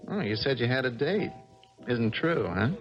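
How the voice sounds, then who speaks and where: A man's voice: mid-range voice, expressive, moderate pace, natural voice. An actor playing a glib, persistent male sports reporter, wry and probing, in a 1970s radio drama. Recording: muffled old radio broadcast, music behind.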